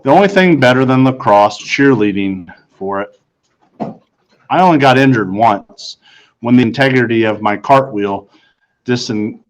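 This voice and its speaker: A man's voice: mid-range voice, poor audio. Man reading a first-person story. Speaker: audiobook reader.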